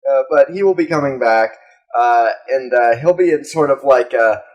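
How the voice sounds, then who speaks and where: A man's voice: mid-range voice, normal speed, darkish tone, muffled recording, soft background music. A young man co-hosting a podcast, rambling through crude jokes with lots of fillers and laughs. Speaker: podcast co-host. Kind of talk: casual comedic chat.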